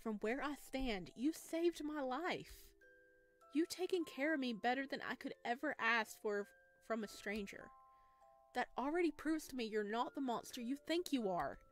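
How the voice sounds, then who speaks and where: A woman's voice: high-pitched, expressive, moderate pace, soft background music, pitched above the performer's own voice. A woman voicing an earnest, caring visual novel heroine who speaks emphatically and from the heart. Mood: insistent, heartfelt. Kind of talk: visual novel character reading.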